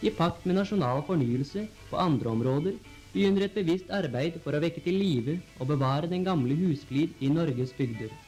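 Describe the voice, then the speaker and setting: A man reading scripted 1940s newsreel narration in a formal, measured announcer style on an old film soundtrack. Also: a little high, animated, darkish tone, soft background music, rough recording. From Norway.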